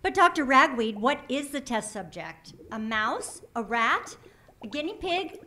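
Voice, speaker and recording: A woman's voice: high-pitched, very animated, unhurried, sharp tone, exaggerated voice. A woman voicing an earnest, naive young female assistant who is polite and fussy. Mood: worried. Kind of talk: comic radio play acting.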